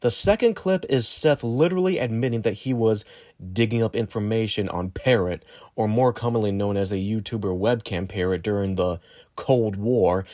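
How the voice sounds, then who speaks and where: A man's voice: lowish voice, darkish tone, dry recording, clean studio sound. A man reading scripted narration for an exposé video.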